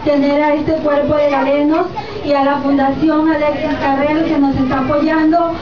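Una mujer de mediana edad con acento colombiano, directora de hospital, da un discurso formal de bienvenida con micrófono de mano, agradecida y orgullosa. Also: female voice, sits high, little pitch movement, moderate pace. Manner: formal, grateful, proud of her town. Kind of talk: public welcome speech. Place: covered open-air public space with a crowd. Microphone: handheld mic. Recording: far off, echoey, dull, noisy.

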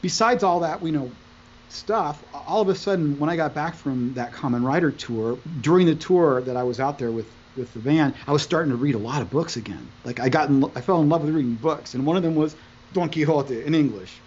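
A middle-aged man whose first language is Italian, telling stories as a podcast guest over a video call from home, talking in an animated way. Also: a little high, fairly quick, highs cut off, slight background noise. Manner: animated, gestures while talking. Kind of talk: conversational storytelling. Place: room at home, indoors. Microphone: video call, wearing headphones.

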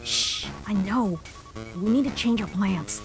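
A woman voicing a caring human child in a comic dub, a protective older sibling who speaks gently and reassuringly. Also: mid-range voice, big pitch swings, a little slow, soft background music.

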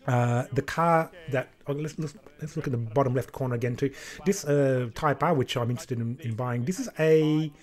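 A man's voice: medium pitch, moderate pace. A man in his thirties with an Australian accent, casually talking through car auction listings on a home livestream in a small room. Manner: relaxed, chatty, rambling with many fillers. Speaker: car enthusiast streamer.

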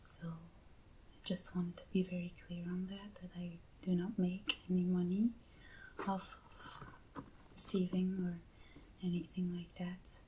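Slow whispering woman, ASMR host. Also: fairly low pitch, level tone, low-quality recording. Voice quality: breathy whisper. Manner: hushed, gentle, unhurried. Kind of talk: soft ASMR unboxing talk. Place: small indoor set at a table. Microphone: close ASMR microphone. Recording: clear background hiss.

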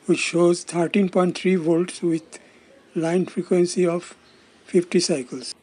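A man in his sixties giving scripted instructional narration as an electronics instructor, in a measured, formal, explanatory manner. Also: high-pitched, flat delivery, a little mellow, breathy edge. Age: 60s.

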